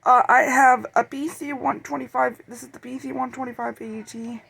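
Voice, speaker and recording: Someone casually showing off a collection in a home-made vlog, talking in a halting, rambling way. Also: high-pitched, steady tone, creaky, bright, forceful tone, husky, rough recording. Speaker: video creator showing a radio collection. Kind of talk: casual show-and-tell vlog.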